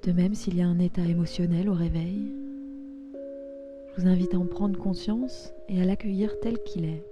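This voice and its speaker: A woman leading a guided yoga nidra meditation in a soft, soothing, unhurried way, pausing between phrases. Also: lowish voice, fairly even intonation, lo-fi sound. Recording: music behind the voice.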